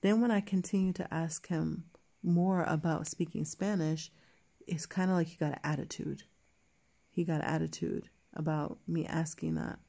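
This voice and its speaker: A woman's voice: low voice, steady tone, moderate pace, gravelly, dark tone. A woman telling a personal story to her viewers in a chatty way, full of fillers and restarts, acting out both sides of a conversation. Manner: chatty, many fillers and restarts, quotes others in dialogue. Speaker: vlogger. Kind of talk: casual storytelling to camera.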